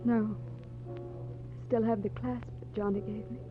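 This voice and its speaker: Woman acting sad polite lady from a 40s radio drama, soft spoken, muffled sound with music behind. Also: a little high, fairly even intonation, unhurried, lightly acted. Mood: sad, resigned. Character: wistful, well-mannered married lady in quiet heartbreak.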